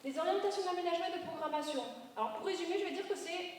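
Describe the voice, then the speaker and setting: A woman's voice: very high voice, racing. Woman presenting in a very echoey hall. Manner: didactic, step-by-step explaining with self-corrections. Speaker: urban planning consultant presenting at a public meeting. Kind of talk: explanatory public presentation. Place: large reverberant meeting room, audience present. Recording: very echoey hall, distant mic, faint noise.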